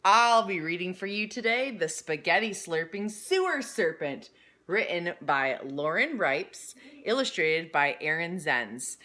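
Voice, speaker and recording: A woman reads a picture book to young listeners in a slightly low voice. She takes her time, speaking very slowly and addressing the children directly. Her storytelling is expressive, with dramatic emphasis on key words. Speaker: children's read-aloud reader.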